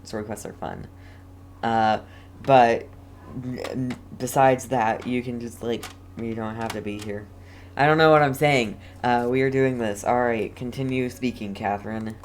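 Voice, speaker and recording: Slow-paced male gamer doing casual commentary. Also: mid-range voice, steady tone. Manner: rambling, self-deprecating. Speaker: gaming video host. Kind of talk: casual let's play commentary. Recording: faint background noise.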